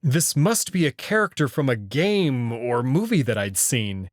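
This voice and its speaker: A man's voice: medium pitch, sing-song, a little slow, no echo, clean studio sound. A man narrating a first-person visual novel in a dramatic storytelling style.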